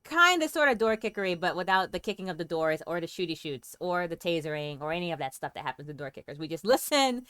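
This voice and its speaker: A woman hosts a casual game stream in a smooth, lowish voice. She chats playfully and thinks aloud as she plays. The sound is close and dry. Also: very animated, fast, hardly any pauses, a bit bright, no room sound. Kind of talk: casual livestream game commentary.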